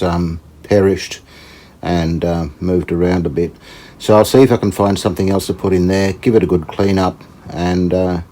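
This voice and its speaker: A man giving casual hands-on repair commentary, unhurried and tentative, as if thinking aloud. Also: sits low, dark tone, a little hiss or hum. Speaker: host of a radio repair channel.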